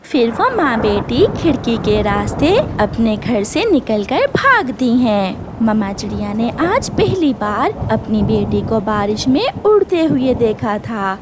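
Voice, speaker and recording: A woman's voice: a little high, noisy background. A woman reading scripted narration for a children's cartoon story.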